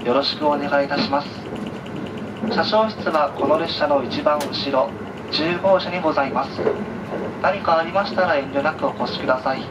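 Muffled, high-pitched male train conductor announcing. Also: fairly even intonation. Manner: formal, very polite, measured station-by-station delivery. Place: inside a train carriage, heard over the speakers. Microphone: announcement over PA, captured by a handheld camera. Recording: muffled train PA, distant, loud carriage noise. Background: loud train rumble and ambience.

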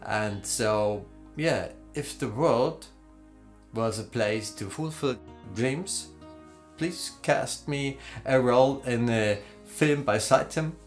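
A man in his forties with a German accent, introducing himself as an actor. He speaks slowly and deliberately, in a playful, easygoing way. A light bed of music plays softly behind him. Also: medium pitch. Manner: slow, deliberate, playful, with laughs. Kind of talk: self-presentation demo reel. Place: green screen studio.

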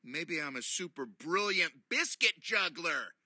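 A man voicing an excitable little boy panda, eager and squealing. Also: a little high, unhurried, dry recording, studio quality, voice pushed up, heavily acted.

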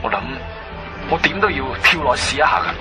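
An actor playing a bold, determined male adventurer in a radio drama, expressive and assertive, with a Guangzhou Cantonese accent. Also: fairly high pitch, a little fast, vocal fry, loud music behind the voice. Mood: determined, reassuring. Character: bold, determined adventurer hero, adult man. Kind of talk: dramatic radio play dialogue.

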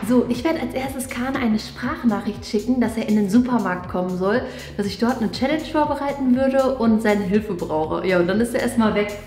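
A woman's voice: on the high side, normal speed, hardly any pauses, a little mellow. A young woman talking casually to the camera as a vlog host. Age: young adult. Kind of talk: casual vlog talk to camera, prank challenge. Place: parked car, small tiled toilet, small studio room. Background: background music.

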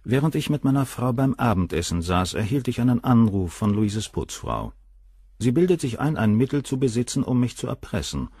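An actor playing a cool, arrogant, middle-aged surgeon who talks down about the women around him, in a radio play. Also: male voice, medium pitch, sing-song, fairly quick, a little mellow, husky, no echo, studio quality. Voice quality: cool, cynical, dismissive.

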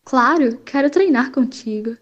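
An actress playing a friendly but anxious young cousin in an earnest amateur radio soap opera. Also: female voice, high voice, animated, measured pace, dull audio.

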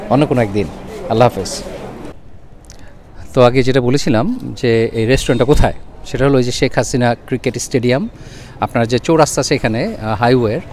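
A man's voice: mid-range voice, a little mellow. A man with a Bangladeshi accent giving a casual, chatty food review as a vlog host, mixing in English words. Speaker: food and travel vlogger, host. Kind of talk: casual restaurant review to camera. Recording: busy roadside traffic and chatter behind.

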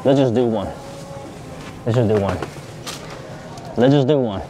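A man chatting casually to his viewers as he shops in a grocery store, thinking out loud and repeating himself. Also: medium pitch, a little slow, background noise. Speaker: vlogger. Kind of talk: casual vlog chat.

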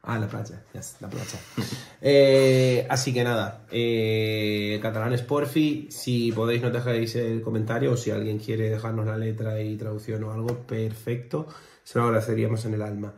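A man's voice: fairly low pitch, level tone, moderate pace, a little mellow. A young man from Spain co-hosting a reaction video, chatting casually and rambling with lots of fillers and restarts. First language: Spanish. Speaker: reaction channel co-host. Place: living room at home. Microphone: fixed camera on a desk. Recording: roomy home echo.

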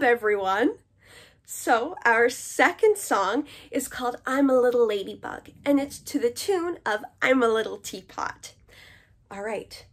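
A young woman hosts a children's storytime. Her voice is high and she takes her time, speaking slowly and encouragingly as if to very small children. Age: young adult. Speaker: library summer program coordinator and storytime host. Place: small indoor room.

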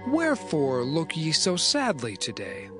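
A devout young prisoner in a biblical audio drama. His fairly high voice moves expressively, solemn and earnest, with music clearly underneath. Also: huge pitch swings, a little slow. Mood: gentle, earnest. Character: Joseph, young Hebrew prisoner, earnest, devout dream interpreter. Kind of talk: dramatized scripture acting.

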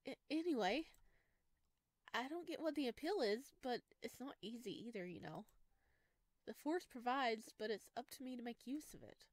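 A woman plays a shy young male forest creature from a visual novel game. He is earnest and eager, stammering over tender words in a fairly high voice, recorded close and dry. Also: expressive, normal speed, no echo.

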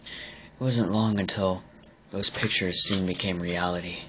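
A man voicing a brooding, bitter young killer artist in a halting, menacing confession, breathy and often dropping to a whisper. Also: deep voice, fairly even intonation, muffled recording, noisy background. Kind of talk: dramatic villain monologue.

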